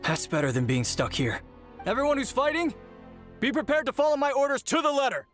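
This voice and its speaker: An actor playing a grave, weary male warrior in a video game, a sole survivor with a husky voice. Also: very high voice, big pitch swings, brisk, a bit bright, exaggerated voice. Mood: grim resolve. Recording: game music behind the voice.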